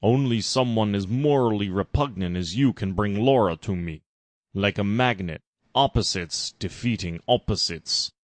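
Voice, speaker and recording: A man voicing a scheming, manipulative male villain, measured and commanding, in a parody story. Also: lowish voice, no room sound, lightly acted. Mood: calculating.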